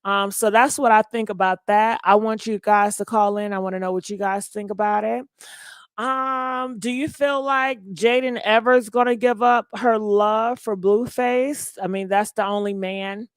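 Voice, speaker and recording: A woman's voice: mid-range voice, steady tone, moderate pace, clear, resonant tone, no room sound. Female call-in show host, chatty and casual, dry close sound. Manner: chatty, takes callers and runs phone polls.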